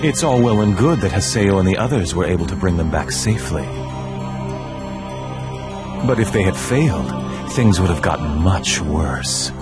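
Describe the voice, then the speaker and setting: A man playing a menacing, sanctimonious leader in a video game, theatrical, condescending and deliberate, with music behind him. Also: sits low, animated. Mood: accusing. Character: menacing, sanctimonious male cult-like leader and manipulator. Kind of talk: video game cutscene voice acting.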